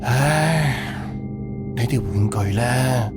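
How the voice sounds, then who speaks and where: A man's voice: mid-range voice, extremely slow. A man acting a grieving, hospitable middle-aged amusement park director in a Cantonese audio drama. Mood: wistful. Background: background music.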